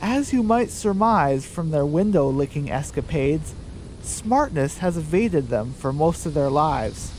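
A man narrating a scripted radio drama in a deliberate storytelling delivery. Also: on the high side, animated, heavy background noise.

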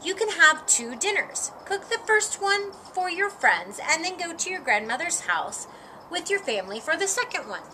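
A woman's voice: very high voice, sing-song, moderate pace, sharp tone, a little hiss or hum, pitched above the performer's own voice, heavily acted, low-quality recording. A woman voicing an earnest, helpful, scholarly little boy in a storybook, explaining things. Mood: encouraging. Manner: explaining, encouraging.

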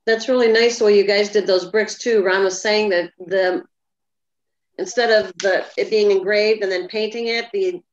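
A woman's voice: mid-range voice, steady tone, normal speed. An older woman giving an informal committee report at a village board meeting over a video call. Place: indoor room at home on video call. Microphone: computer mic on video call. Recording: muffled video call audio.

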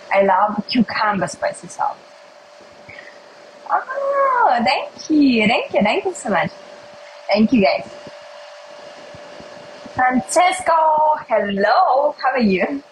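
Slow-talking young Russian woman. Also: a little high, big pitch swings, a little hiss or hum, poor audio. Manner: slow, simple English with pauses and hums. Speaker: livestream host. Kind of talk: casual livestream chat while eating. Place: indoors at a table by a window.

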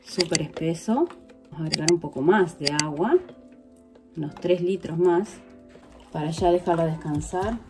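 A woman's voice: low voice, moderate pace, pauses often. A woman, casually showing viewers how to make liquid soap in her home kitchen while she works. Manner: chatty, addresses viewers directly, working while talking. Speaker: vlogger. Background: background music.

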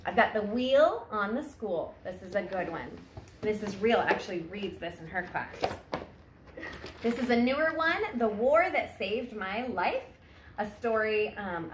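An echoey indoor phone recording with faint background noise carries a woman in her thirties. She speaks at a middling pitch in a casual presenting style, like a teacher addressing students. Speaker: teacher introducing a children's summer reading challenge. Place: indoor room at home, sitting on a wooden floor against a wall. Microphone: phone camera a short distance away.

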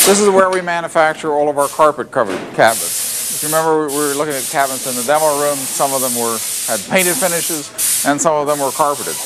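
Man guiding a noisy factory tour. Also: a little high. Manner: plain explanatory, with frequent fillers and restarts. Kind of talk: walking tour narration. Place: loud factory floor. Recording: factory noise behind voice.

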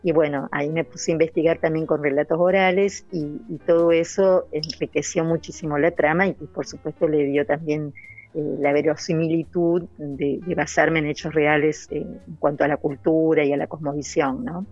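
A woman's voice: low-pitched, steady tone, moderate pace, a little mellow, soft background music. A middle-aged woman, a novelist, talking earnestly in an interview with many hesitant fillers, over a remote call. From Santa Fe, Argentina. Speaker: novelist guest. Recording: dull, lo-fi remote audio.

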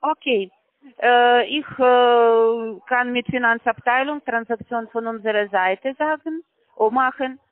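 A man as a phone scammer, speaking halting, broken German patiently and repetitively on a phone call. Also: extremely high pitch, steady tone, no room sound. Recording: tinny phone line.

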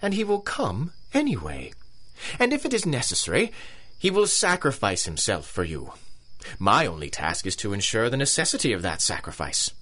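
An actor playing a pompous, ruthless big-game hunter with an English accent in a pulp radio drama, grandiose and scornful. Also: male voice, medium pitch, wildly swooping pitch, moderate pace, bright, forceful tone, full character voice. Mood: cold, scheming.

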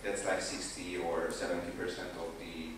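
Hungarian guy on a panel, slow and hesitant with lots of ums, recorded far off, noisy room. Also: sits low, level tone. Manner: hesitant, many ums and restarts, speaks slowly and deliberately. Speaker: panelist, activist. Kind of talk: panel discussion talk. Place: large indoor conference room, seated on a sofa panel. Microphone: handheld mic, recorded from a far camera. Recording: echoey hall from far off with background noise.

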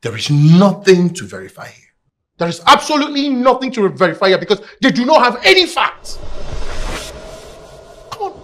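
A man's voice: sits high, huge pitch swings, moderate pace, pauses often, a bit bright, exaggerated voice. An actor playing a young man, upset and defensive about a scandal claiming he was adopted. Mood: agitated. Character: agitated young man accused of being adopted. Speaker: adult son.

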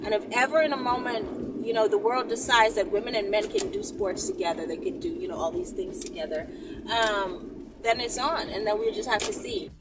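A woman's voice: on the high side, lively intonation, normal speed. A woman in her thirties chatting casually and candidly to camera in a sassy, emphatic way, repeating phrases for emphasis. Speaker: vlogger answering viewer questions. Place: inside a car, often while driving. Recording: noisy car interior, slightly distant mic. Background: vehicle and road noise.

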